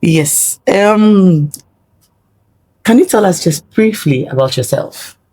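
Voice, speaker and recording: Low-voiced woman hosting an interview. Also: animated, takes it slowly, dark tone, breathy edge, no room sound. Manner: attentive, with brief murmured acknowledgments. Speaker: podcast host.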